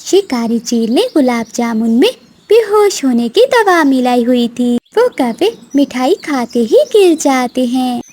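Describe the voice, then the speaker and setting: A woman storyteller with a high, animated voice. She reads scripted children's narration at a slow, measured pace.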